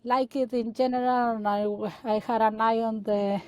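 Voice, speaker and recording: Woman from chile, lots of uh and restarts, mellow tone, dry sound. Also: fairly high pitch, little pitch movement, no echo. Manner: halting, many fillers and restarts. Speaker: guest, data analyst. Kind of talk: casual interview talk.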